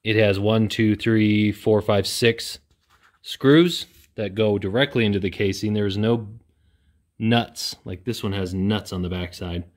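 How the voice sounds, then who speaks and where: A man's voice: on the low side, fairly even intonation, measured pace. A man in his thirties hosting a casual how-to repair demonstration in an indoor workshop, talking in a plain-spoken, self-deprecating way. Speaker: welding video host. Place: indoor workshop with corrugated metal wall.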